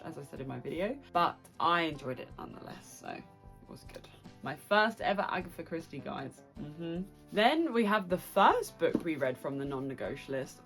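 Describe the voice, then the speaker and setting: A woman hosting a chatty book vlog, speaking playfully and effusively with dramatic asides. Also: low voice. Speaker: book review vlogger and host. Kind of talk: chatty solo vlog to camera.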